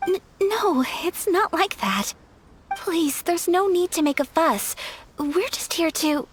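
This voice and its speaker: An actress playing a polite, reserved young noblewoman in a video game, formal, modest and soft-spoken. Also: extremely high pitch, lively intonation, takes it slowly, a little hiss or hum, heavily acted. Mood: flustered.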